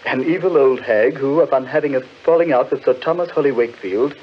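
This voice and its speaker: An actor playing a sceptical gentleman in a 1940s radio horror drama, measured and declamatory. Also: medium pitch, lightly acted. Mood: grave. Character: brisk, sceptical gentleman, dismissive of superstition. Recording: muffled, noisy 1940s radio recording.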